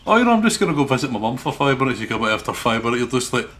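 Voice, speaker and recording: A man's voice: mid-range voice, sing-song, rapid-fire, a bit bright. A man giving jokey, sweary co-commentary on a game in casual chat, with faint background noise.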